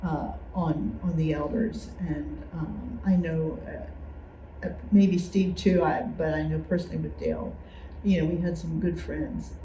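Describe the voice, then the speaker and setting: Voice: medium pitch, fairly even intonation, unhurried, dark tone, a little hiss or hum. A speaker adding a personal reminiscence to a group discussion in a tentative, hesitant way. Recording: echoey, slightly dull room recording.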